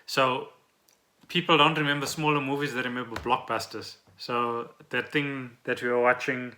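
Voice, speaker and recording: A man's voice: medium pitch, steady tone, moderate pace, sharp tone, a little hiss or hum. A South African man co-hosting a podcast in casual, unscripted chat. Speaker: podcast co-host.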